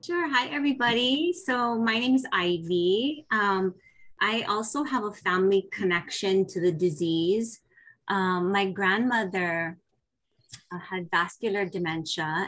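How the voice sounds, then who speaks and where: A young woman introducing herself on a webinar, speaking in a friendly, measured way. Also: lowish voice, a bit bright, low-quality recording. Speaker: advocacy volunteer.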